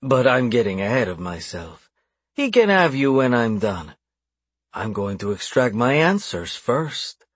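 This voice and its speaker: A sadistic crime boss as played by a man narrating an audiobook toys with his victims. He speaks with slow, mocking calm and open menace. The voice is slightly husky and closely recorded, with little room sound. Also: medium pitch, no room sound. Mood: mocking delight. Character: sadistic, taunting crime boss, chillingly calm older brother. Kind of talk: audiobook dark thriller dialogue.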